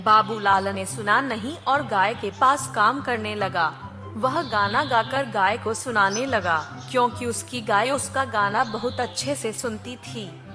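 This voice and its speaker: Female narrator for scripted children's stories. Fairly high voice, background music bed. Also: unhurried.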